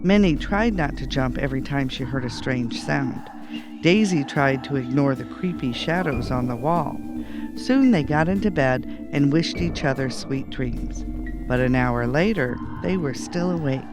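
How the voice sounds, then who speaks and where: A man reading a children's bedtime story aloud in an animated storytelling style. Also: mid-range voice, measured pace, music in the background. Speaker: storybook reader.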